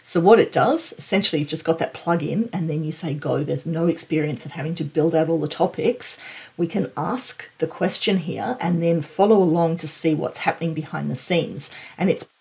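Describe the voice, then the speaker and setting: A woman in her forties with a noticeably husky, gravelly-edged voice. She talks viewers through a software demo in an informal, conversational way, sounding keen about what she shows. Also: low voice, normal speed. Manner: enthusiastic, informal. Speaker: tech presenter. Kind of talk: explainer screen-demo commentary, conversational.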